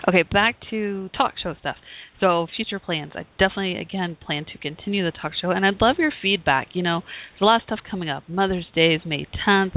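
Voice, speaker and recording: A woman who hosts a talk show, hoarse from bronchitis, giving a rambling, casual update with many fillers and restarts. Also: fairly low pitch. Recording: tinny phone-like audio.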